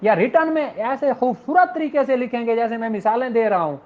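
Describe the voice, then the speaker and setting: A man's voice: very high voice, lively intonation, brisk. A middle-aged man with a Pakistani accent giving an informal, explanatory law lecture in a conversational, familiar way. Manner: conversational, addresses listeners as his children and siblings. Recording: dull lo-fi audio.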